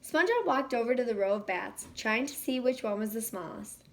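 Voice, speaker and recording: Female storyteller for a children's read-aloud, slightly high voice, expressive delivery. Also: fairly quick. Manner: animated, acts out dialogue. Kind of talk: expressive picture-book narration.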